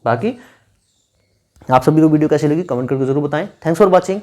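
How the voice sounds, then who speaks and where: A man's voice: fairly high pitch, moderate pace, mellow. A man giving informal instructional advice to candidates, repeating key points for emphasis like a coach. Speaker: exam-guidance YouTuber advising police recruitment candidates. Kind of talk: informal instructional video talk.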